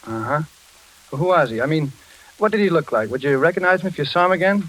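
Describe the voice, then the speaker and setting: An actor playing a grim, resolute engineer with a blunt, decisive manner in 1940s radio style. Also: male voice, a little high, lively intonation, fast talker, fairly bright tone, low-quality recording. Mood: probing. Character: grim, resolute male engineer bent on solving murder.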